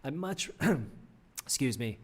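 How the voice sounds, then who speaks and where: A man's voice: medium pitch, sing-song, slow pace, husky. Rambling man streaming games. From Australia. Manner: rambling, self-correcting, frequent "uh" fillers. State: slightly losing his voice. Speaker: livestream host. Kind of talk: casual livestream commentary.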